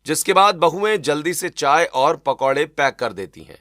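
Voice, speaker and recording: A man narrating a scripted animated family story as a storyteller. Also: fairly high pitch, normal speed, a little hiss or hum. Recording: loud rain ambience behind voice.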